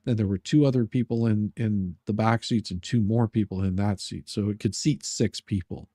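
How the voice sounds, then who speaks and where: A man telling personal anecdotes in casual chat, halting and rambling as he repeats his words. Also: on the low side, fairly even intonation, warm tone, no echo, clean studio sound.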